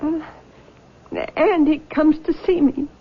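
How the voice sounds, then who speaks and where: A woman's voice: sits high, steady tone, slow pace. An actress playing a distraught, guilt-ridden young woman in a radio drama, plaintive, pleading and tremulous. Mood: haunted, pleading. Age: young adult. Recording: muffled old radio broadcast.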